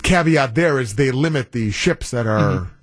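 A man's voice: mid-range voice, expressive, moderate pace, no room sound, studio quality. An American man hosting a talk radio show with casual, playful and wry banter. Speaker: guest radio host.

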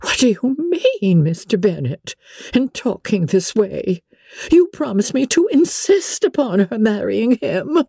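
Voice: mid-range voice, sing-song, measured pace, creaky, dark tone, husky, no echo, clean studio sound, voice pushed up, exaggerated voice. A reader voicing a flustered, fretful middle-aged mother in an agitated, emphatic way, as in an audiobook. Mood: frantic, agitated.

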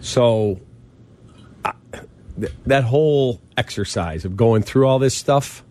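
A man's voice: medium pitch, slow pace, darkish tone. A man co-hosting a sports radio show, dropping short, dry remarks in casual banter.

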